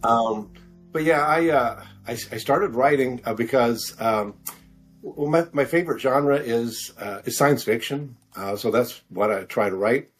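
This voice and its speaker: Male interview guest, sixties, rough-edged husky voice at medium pitch, hesitant and meandering conversational delivery. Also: faint music behind. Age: 60s. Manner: halting, rambling, hesitant with repeated words. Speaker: podcast guest, science fiction author. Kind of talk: casual interview talk.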